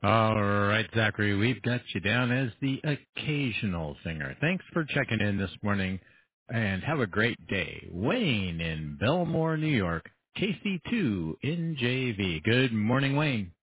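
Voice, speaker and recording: A man hosting an amateur radio net, calling each station in turn and thanking them in a brisk, friendly way. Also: on the low side, big pitch swings. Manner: brisk, friendly roll-call delivery. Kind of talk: radio net check-in roll call. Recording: phone-like radio audio with faint hiss.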